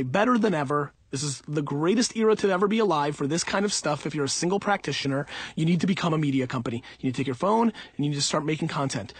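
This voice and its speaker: Blunt man with high-pitched, husky voice. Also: no room sound. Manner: blunt, emphatic, informal. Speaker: audiobook author answering listener questions. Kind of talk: off-the-cuff advice monologue.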